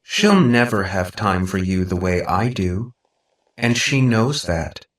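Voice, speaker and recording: A man's voice: on the low side, very animated, slow, reverberant, recorded from a distance. Man voicing a sweetly possessive robot girl. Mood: pleading, clingy. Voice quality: synthetic, affectionate tone. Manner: sweetly insistent, precise.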